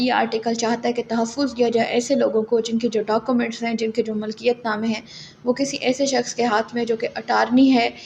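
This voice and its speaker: A woman's voice: a little high, a little mellow. A woman with a Pakistani accent giving a law lecture, reading out legal text and explaining it in an instructional way. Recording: muffled lo-fi audio with background noise.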